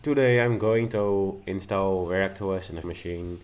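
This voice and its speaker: Man, deep voice, nervous and hesitant, casual tutorial host. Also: normal speed, darkish tone. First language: Dutch. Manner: nervous, hesitant, with many fillers and restarts. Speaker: tech video host. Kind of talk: casual screen-recording tutorial.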